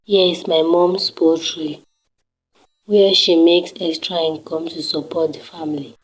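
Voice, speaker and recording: A teenage girl from Nigeria, speaking with a Nigerian accent and a slightly low voice. She narrates her home and daily routine at a careful, steady pace, laying things out one by one. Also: unhurried, soft background music, rough recording. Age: teens. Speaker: student showing her home.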